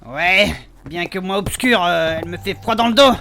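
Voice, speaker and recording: A man's voice: sits high, normal speed, vocal fry, bright, forceful tone, slight background noise, full character voice. A man playing a cocky, whiny young adventurer who bickers in a comic fantasy audio drama. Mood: jealous, grumbling. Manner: colloquial, stammering, grumbling. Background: music.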